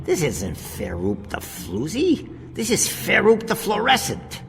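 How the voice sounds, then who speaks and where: An actor playing a pompous, roguish tomb raider with wry, theatrical delivery in a comic radio play. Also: male voice, high-pitched, wildly swooping pitch, a little slow, creaky, exaggerated voice. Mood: scheming, wry. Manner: grandiloquent, alliterative, theatrical. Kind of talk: comic science-fiction radio play acting. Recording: long reverb, music behind.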